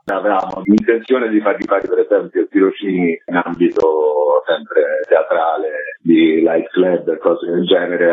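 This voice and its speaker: Husky guy doing an informal interview, explains things kinda hesitantly, sounds like a phone call. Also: low-pitched. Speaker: interviewed project curator. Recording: tinny phone-like line.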